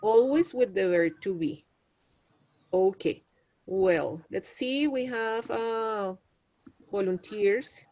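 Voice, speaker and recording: A woman's voice: medium pitch, slow pace, long pauses, darkish tone. A woman teaching an English class over a video call, patiently prompting her students.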